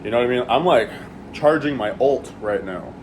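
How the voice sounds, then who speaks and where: A man in a relaxed, rambling flow, dropping casual profanity and filler words as he talks while eating. His voice sits at an ordinary middle pitch. A clear, steady air-conditioning hum runs underneath the whole recording. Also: moderate pace. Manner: laid-back, rambling, swears freely, many fillers. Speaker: vlogger eating on camera.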